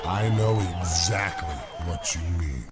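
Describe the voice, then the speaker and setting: A man's voice: deep voice, unhurried, raised voice, over loud music, a loud crowd. An actor playing a cocky, boastful wrestler bragging about his size.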